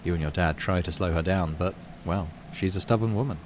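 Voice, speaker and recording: Male game-channel host, low voice, animated story reading with offhand commentary, noticeable background noise. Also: a little fast. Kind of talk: dramatic read-aloud narration of a game story with casual asides. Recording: clearly audible background noise.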